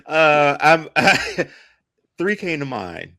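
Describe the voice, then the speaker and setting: A man's voice: fairly high pitch, sing-song, very slow, bright, forceful tone. A man chatting casually as a panelist on a podcast. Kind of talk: casual chat.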